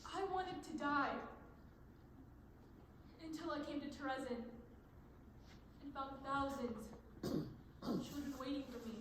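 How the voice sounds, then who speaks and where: A woman's voice: sits high, slow pace, long pauses. A young woman playing a protective, grieving caretaker of children in an amateur stage play, earnest and emphatic, recorded from a distance. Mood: grieving, resolute. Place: stage in a large room. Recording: echoing distant stage recording, faint noise.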